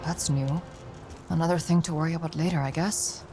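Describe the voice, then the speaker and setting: A young woman warrior from a game cutscene, low in pitch. She is curt, protective and bossy like an older sister, with loud music behind her. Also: fairly even intonation, brisk. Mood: curt, exasperated. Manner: curt, exasperated, commanding. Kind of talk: video game cutscene acting.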